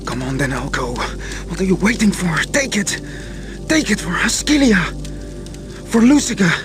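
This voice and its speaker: A man's voice: high-pitched, sing-song, moderate pace, over music. A man playing an earnest, stubborn inventor in a fantasy video game, intense and self-questioning. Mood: agitated, urging himself.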